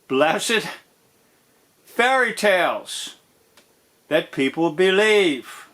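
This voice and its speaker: A man giving informal commentary on a TV episode as host, in a deliberate, drawn-out way that dwells on key phrases. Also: fairly high pitch, bright, forceful tone.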